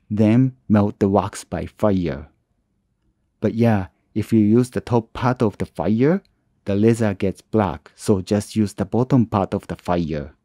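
A man narrating his own woodworking tutorial as a calm voice-over, with plain, slightly non-native phrasing. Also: lowish voice, dry recording, studio quality. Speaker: woodworking channel host.

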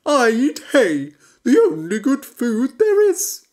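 A woman voicing a grumpy, old-fashioned male horse who talks loudly and huffily. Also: a little high, wildly swooping pitch, slow, pitched above the performer's own voice, heavily acted. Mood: indignant. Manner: loud and huffy.

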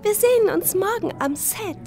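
A very high-pitched film actress speaks with gushing, solicitous politeness in a children's audio play. Faint music sits behind her. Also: expressive, normal speed, gravelly, a little mellow, heavily acted. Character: friendly, gushing film actress, a grown woman. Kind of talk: children's audio drama acting.

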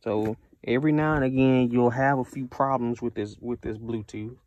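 A man's voice: mid-range voice, steady tone, normal speed, a little mellow, muffled recording. An American man giving a casual, unscripted product review in a conversational way, repeating himself for emphasis.

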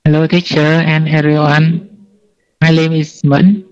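A man in his forties introducing himself slowly and hesitantly in an online English class. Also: a little high, little pitch movement, faint music behind. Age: 40s. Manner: slow, hesitant learner's English. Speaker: student. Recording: lo-fi online call audio.

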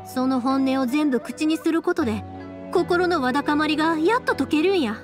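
A woman playing a chatty, warm-hearted young fireworks maker in an anime game, friendly and informal, with a Kansai accent. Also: high-pitched, moderate pace, music in the background, natural voice. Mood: warm, reassuring. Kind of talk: anime video game voice acting.

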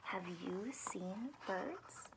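A woman in her thirties reading a picture book aloud to children in an expressive, sing-song, teacherly way. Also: mid-range voice, drawn-out words, creaky, slight background noise, low-quality recording. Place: small furnished room indoors.

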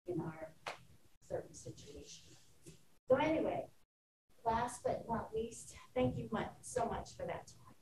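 Teacher, female, lively pitch, distant roomy recording. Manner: earnest, thankful, lively. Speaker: teacher presenting. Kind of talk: presentation to a school board. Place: school meeting room. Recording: roomy, distant mic, clear background noise.

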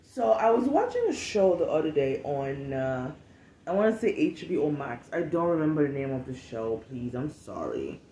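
Relaxed young woman from Cameroon, roomy sound. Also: sits low, moderate pace, slight background noise. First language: not English. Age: 20s. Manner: relaxed, candid, swears freely. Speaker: podcast host. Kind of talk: casual monologue. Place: home room, seated at a table.

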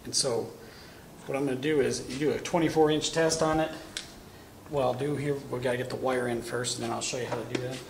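Casual shop demonstrator, thirties, gravelly voice, lapel mic, noisy workshop background. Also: male voice, mid-range voice, fairly even intonation, fast talker. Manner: casual, talks while working with his hands. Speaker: welding equipment demonstrator. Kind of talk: hands-on product demonstration. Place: welding shop corner with curtain backdrop.

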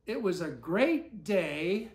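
An older man in about his seventies reads a storybook aloud to a small child, pausing for gentle asides and questions. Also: sits high, very animated, echoey room. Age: older, about 70s. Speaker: story reader. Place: living room armchair, indoors. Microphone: camera a few feet away.

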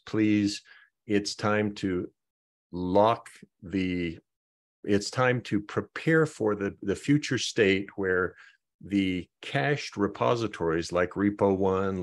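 A man hosting an online project meeting, talking through updates in a halting way and often repeating words as he thinks. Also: deep voice. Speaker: meeting host.

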